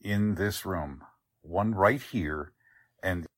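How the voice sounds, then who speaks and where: An actor playing a genial, easygoing small-town doctor in 1940s radio drama style, musing hesitantly in a folksy way. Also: male voice, sits low, extremely slow, full character voice. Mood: grave.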